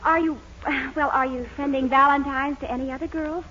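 A woman's voice: high voice, fairly even intonation, brisk, pushed and bright. An actress playing an eager, lovestruck, determined young sweetheart in a 1940s radio comedy, girlish and gushing. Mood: adoring. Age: young adult. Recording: dull, noisy old radio recording.

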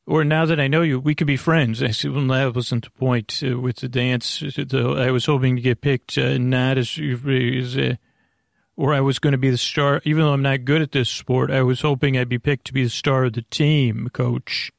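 A middle-aged man with a creaky voice hosting a bedtime podcast, meandering and halting through a self-deprecating, off-topic monologue. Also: mid-range voice, fairly even intonation, no room sound, studio quality. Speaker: host of a bedtime sleep podcast.

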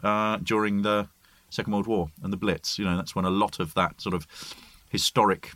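A man's voice: on the low side, little pitch movement, normal speed, fairly bright tone, no echo. A middle-aged man from near York, co-hosting a casual chat and describing places in eager detail. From near York, England. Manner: eager agreeing, descriptive storyteller.

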